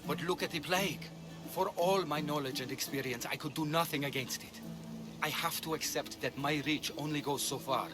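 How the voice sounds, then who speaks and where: A man acting as an ancient healer torn by his conscience. His delivery is earnest and measured. It is game drama with clear music behind the voice. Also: a little high, natural voice. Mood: resigned. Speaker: video game character. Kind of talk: dramatic game cutscene dialogue.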